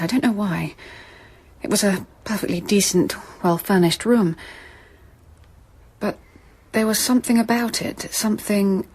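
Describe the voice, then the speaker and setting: A woman's voice: medium pitch, husky. An actress playing a genteel, anxious young Englishwoman in a radio drama, well-spoken, hesitant and emotional.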